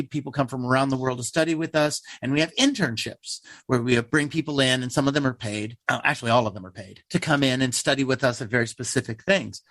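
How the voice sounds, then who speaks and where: A man's voice: medium pitch, a bit bright, dry recording. A man who works as a playwright in a theater troupe, explaining things casually in an interview.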